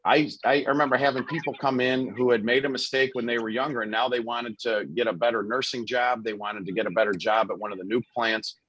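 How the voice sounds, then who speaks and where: A man, a lawyer running for office, answering forum questions over a video call in a relaxed way with many you-knows. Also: medium pitch, fast, hardly any pauses, no echo, low-quality recording. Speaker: lawyer, candidate.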